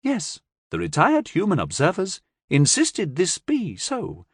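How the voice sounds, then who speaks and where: A childlike young alien creature with a high, lifted voice that speaks in careful, broken formal phrases, as a male narrator puts her on. The delivery is slow and earnest, and the sound is dry and close. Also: wildly swooping pitch, creaky, slightly rough, dry recording, full character voice.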